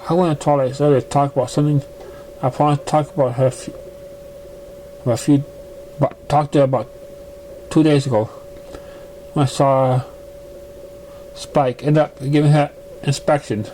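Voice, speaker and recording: A man voicing an earnest, concerned young pony in a halting manner. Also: fairly high pitch, steady tone, dark tone, slight background noise, close to an everyday voice, poor audio. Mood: hesitant.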